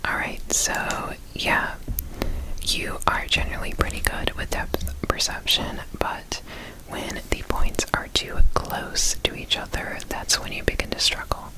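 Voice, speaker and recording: A woman whispering softly and breathily in an ASMR roleplay, giving gentle, reassuring step-by-step instructions as an examiner. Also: measured pace, poor audio. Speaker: ASMR performer playing an examiner.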